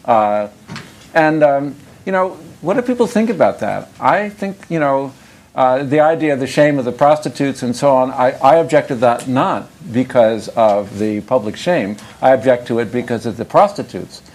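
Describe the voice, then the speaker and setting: An older man giving an academic lecture. He talks in a loose, conversational way, drifting into asides and dry jokes at a medium pitch. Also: expressive, moderate pace, darkish tone. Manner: conversational, digressive, wry asides.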